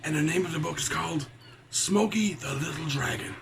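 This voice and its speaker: A man's voice: fairly high pitch, lively intonation, fairly quick, gravelly. A man voicing a salty pirate who reads a children's storybook, chatting to his mateys with pirate talk and chuckles. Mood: jolly, easygoing. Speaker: storybook reader. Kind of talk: children's read-aloud with chatty asides. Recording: noisy, with background ambience.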